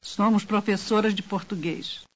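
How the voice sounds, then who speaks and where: A woman reading language drill phrases slowly and clearly. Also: medium pitch, steady tone. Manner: slow, clear, carefully enunciated. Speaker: language course speaker. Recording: dull lo-fi course tape.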